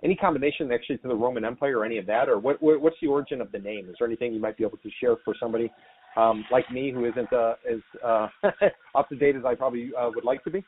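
Brisk man hosting over a tinny line. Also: mid-range voice, a little hiss or hum. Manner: brisk, upbeat, promotional delivery. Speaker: podcast host. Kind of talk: real estate podcast interview and promos.

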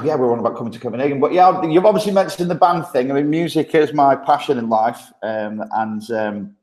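A man's voice: mid-range voice, a little mellow, roomy sound. Chatty man with a Midlands accent. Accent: English Midlands. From Stoke-on-Trent, England. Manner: chatty, laughs often, many ums and restarts. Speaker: guest, agency partnership manager. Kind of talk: casual podcast interview.

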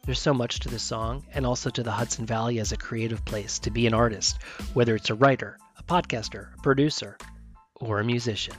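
A man's voice: mid-range voice, fairly even intonation, a little fast, faint music behind. A man in his fifties hosting a podcast, reading an upbeat promotional intro. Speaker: podcast host. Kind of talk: scripted podcast intro, then interview.

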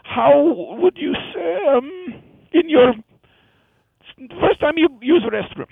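A man doing a high rasping Indian woman. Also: lively intonation, no room sound, heavily acted. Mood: rambling. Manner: halting, broken English with many fillers. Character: woman amputee from India with transplanted hands. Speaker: phone-in comedy caller. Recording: tinny phone line.

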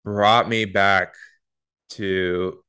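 Deep-voiced man in his thirties. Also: very slow, smooth. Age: 30s. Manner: relaxed, chatty, with many repeated starts. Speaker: podcast host. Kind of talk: casual podcast conversation.

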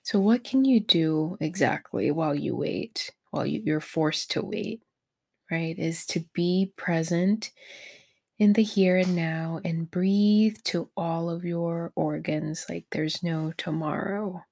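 A woman leading a guided meditation in a soft, breathy, near-whispered voice, gentle and encouraging toward the listener. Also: on the low side, slow pace. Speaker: meditation guide, podcast host.

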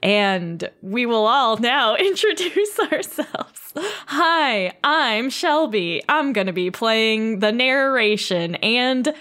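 A woman's voice: a little high, expressive, slow, fairly bright tone. A woman co-hosting a comedy podcast, chatting casually and reading stage directions. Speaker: podcast co-host and narrator. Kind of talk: casual podcast chat and script narration.

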